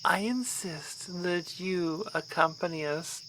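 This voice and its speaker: A woman acts out a haughty, courteous vampire baroness for an ASMR roleplay. Her voice sits a little low and she takes her time over formal, knowing phrases. Faint noise and night insects sit behind her. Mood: coolly probing. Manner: formal, courtly, measured wording.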